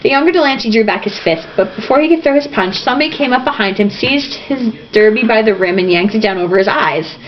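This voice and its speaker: Animated woman reading aloud, dull lo-fi sound. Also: on the high side, fast talker, few pauses. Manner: irreverent, theatrical. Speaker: reader. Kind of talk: informal amateur novel read-aloud with asides. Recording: dull lo-fi home recording, faint noise.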